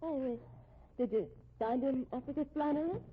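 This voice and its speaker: Worried wife, faltering delivery, husky voice, tinny vintage radio drama. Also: female voice, sits high, normal speed. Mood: nervous, reluctant. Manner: faltering, nervous. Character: anxious, hesitant wife and sister of a fugitive. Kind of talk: 1950s radio drama acting. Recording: muffled, tinny old radio recording.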